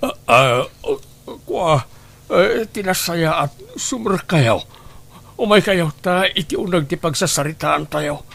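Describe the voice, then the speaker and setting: An actor playing a remorseful older widower in a radio drama, confessing haltingly and pleading for forgiveness. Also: male voice, on the high side, lively intonation, vocal fry, a bit bright, heavily acted. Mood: nervous, apologetic. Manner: halting, slow, heavy pleading delivery. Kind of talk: Ilocano radio drama acting.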